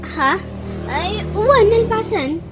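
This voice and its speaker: An actress playing a meek, eager young restaurant helper in a radio comedy drama. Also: female voice, very high voice, animated, slow, music in the background, full character voice. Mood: obliging.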